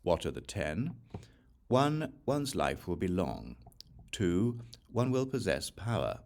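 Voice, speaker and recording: A man with a low voice narrates a scripture audiobook. His delivery is slow and deliberate, with clear pauses, yet his pitch moves up and down with lively inflection. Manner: deliberate, enumerating each point with pauses. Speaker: audiobook reader. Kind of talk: slow, measured reading of scripture.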